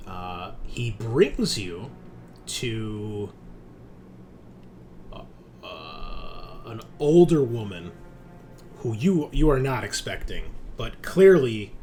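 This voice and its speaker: A man with a lowish voice acts as game master for a tabletop game. He speaks slowly and haltingly, stopping to think as he describes the scene. There is some noise behind him. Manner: halting, pausing mid-sentence to think. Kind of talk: improvised tabletop game narration.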